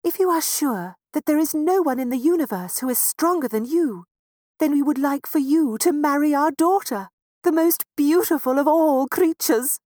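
A woman's voice: moderate pace, no echo, clean studio sound. Woman voicing proud, eager mouse parents. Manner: excited and high-pitched.

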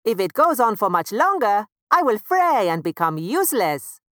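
A woman voicing a tired, rueful talking rope, speaking loud and earnest. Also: mid-range voice, big pitch swings, normal speed, no room sound, studio quality, pitched above the performer's own voice.

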